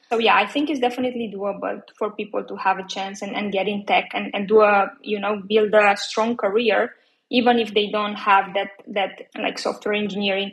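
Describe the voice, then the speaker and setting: A woman's voice: medium pitch, poor audio. A woman in her thirties from Romania, talking casually as an interview guest, with lots of fillers and restarts. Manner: chatty, many fillers and restarts.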